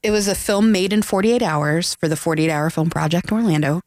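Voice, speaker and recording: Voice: mid-range voice, a little fast, no room sound, studio quality. A chatty, friendly filmmaker guest, talking casually in an interview. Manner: chatty, friendly, laughs easily.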